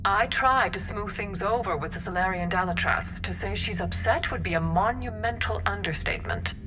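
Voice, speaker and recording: An actress playing an aloof alien councillor in a video game, speaking in a formal, composed, diplomatic way. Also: female voice, mid-range voice, normal speed, over music, lightly acted. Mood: weary disapproval.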